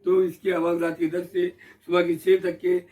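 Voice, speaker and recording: A man's voice: high voice, completely flat delivery, normal speed, mellow. A man with an Indian accent giving an informal explanatory talk, methodical, repeating and rephrasing his points. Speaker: speaker explaining court rules to the public. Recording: voice distant from the mic.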